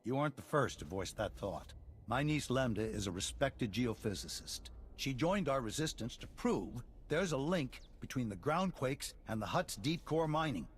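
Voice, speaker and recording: An actor playing a dignified, worried middle-aged colonial leader in a video game, speaking formally and earnestly. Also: male voice, medium pitch, big pitch swings, normal speed, breathy edge. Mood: grave, hopeful. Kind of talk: video game cutscene acting.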